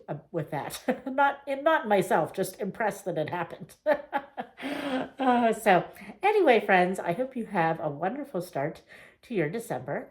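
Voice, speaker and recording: A woman vlogging a casual reading wrap-up, chatty and rambling with fillers and small laughs, her voice getting a little raspy. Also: fairly low pitch, a little mellow. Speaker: book vlogger.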